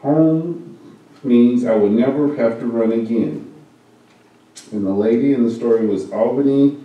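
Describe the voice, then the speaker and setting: Dark-voiced man reading in an echoing hall. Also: mid-range voice. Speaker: service reader. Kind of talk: reading a first-person story aloud at a church service. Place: church meeting room. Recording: very echoey hall, mic far off.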